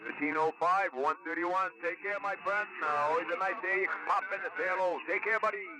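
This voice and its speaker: A man's voice: high-pitched, moderate pace. A man chatting casually and chummily as he takes calls as a radio operator, heard over a radio. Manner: chummy, informal. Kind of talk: casual CB radio contact chat. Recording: noisy CB radio reception.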